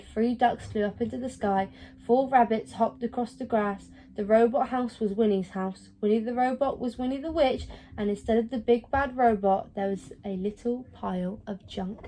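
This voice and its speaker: A woman reading a children's story aloud in an expressive storytelling style. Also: fairly high pitch, a little hiss or hum, rough recording. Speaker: storybook reader.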